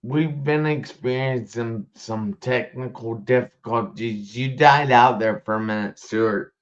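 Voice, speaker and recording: A man hosting a casual live-stream interview, speaking in a halting way and repeating himself, over a video call. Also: mid-range voice. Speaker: live show host. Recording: dull lo-fi stream audio.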